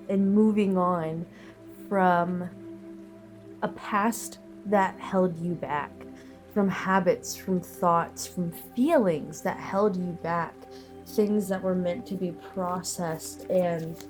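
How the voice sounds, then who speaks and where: A woman giving a tarot reading, her voice a little low and nasal from a cold. She speaks very slowly and thoughtfully, drifting through fillers and pauses, with soft music faintly underneath. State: sick, nasal and sniffly. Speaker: tarot reader. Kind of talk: slow, reflective collective tarot reading.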